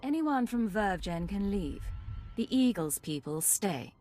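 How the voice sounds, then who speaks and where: A woman's voice: medium pitch, big pitch swings, a little slow, mellow. A woman playing a determined, self-possessed secret agent in a video game, firm, direct and controlled. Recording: music under the voice.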